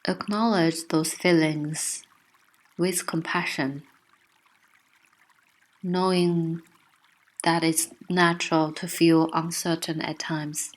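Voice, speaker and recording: A woman narrating a guided meditation with gentle, soothing, unhurried instruction. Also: low-pitched, level tone.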